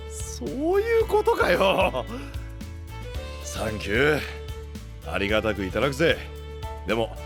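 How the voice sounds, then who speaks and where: Gruff gang-boss knight, wildly expressive. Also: male voice, a little high, in a large hall. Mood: touched, warm. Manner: rough tough-guy speech, expressive inner monologues. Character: gruff big-brother boss knight, proud, anxious, soft-hearted. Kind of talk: anime voice drama. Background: faint background music.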